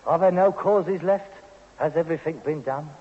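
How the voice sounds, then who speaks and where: An actor playing a pompous, self-pitying grown son with a London accent and mock-grand airs, declaiming melodramatically in an old British radio sitcom. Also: male voice, a little high, normal speed, natural voice. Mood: scornful, lamenting. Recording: muffled old radio recording, hiss, long reverb.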